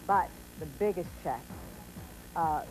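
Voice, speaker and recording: A woman's voice: low voice, slow pace, poor audio. An American woman presenting light TV news headlines from a script. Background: faint music.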